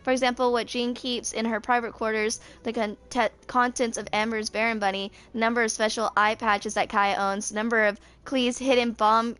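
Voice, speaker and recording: Clear, higher-pitched young woman reading aloud. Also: flat delivery, normal speed, few pauses, fairly bright tone. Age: 20s. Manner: stumbles over words while reading. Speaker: gaming video creator. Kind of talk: reading game character lore aloud.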